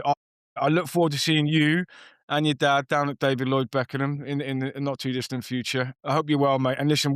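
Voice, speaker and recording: A man's voice: mid-range voice, smooth, no room sound, clean studio sound. A man co-hosting a rugby podcast who has coached players, talking in a friendly, chatty way. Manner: friendly, chatty, warm thanks. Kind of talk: casual podcast chat.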